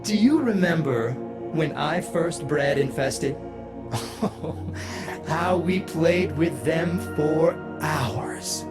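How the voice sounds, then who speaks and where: Morbidly playful game character, sardonic, theatrical, lightly high voice, loud music. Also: male voice. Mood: mocking, gleeful. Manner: theatrical, mocking, drawn-out. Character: sardonic, theatrical, morbidly playful son who breeds monsters. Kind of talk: dramatic video game character acting.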